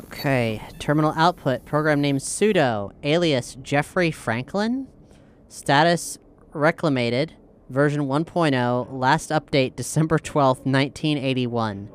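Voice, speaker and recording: A woman's voice: deep voice, unhurried, clean tone. A woman playing a tabletop role-playing game, speaking casually and bluntly, with a questioning, sweary way of talking. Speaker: tabletop role-play player.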